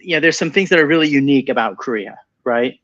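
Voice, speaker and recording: A man's voice: medium pitch, lively intonation, fast talker, a bit bright, highs cut off. A man in a casual webinar conversation, talking with enthusiasm and repeating himself as he starts sentences. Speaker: venture capital partner and co-host.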